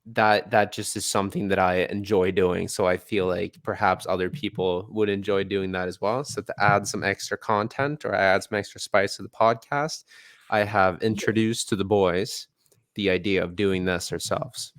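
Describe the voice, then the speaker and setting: A man's voice: low-pitched, steady tone, a little slow, a bit bright, dry recording. A man hosting a podcast in casual chat, talking loosely with lots of fillers and restarts. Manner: loose, filler-heavy talk with many restarts. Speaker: podcast host.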